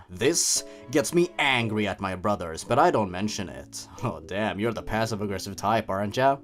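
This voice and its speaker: A man reading a story aloud and breaking in with sarcastic, mocking commentary as the host. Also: medium pitch. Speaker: host reading and commenting on online horror stories.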